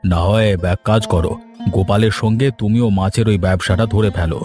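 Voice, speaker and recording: Man acting mellow, low-voiced village landowner. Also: steady tone, soft background music, lightly acted. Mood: calm, advising. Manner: measured, advising. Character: well-off, easygoing village landowner, shrewd patron. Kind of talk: scripted cartoon dialogue.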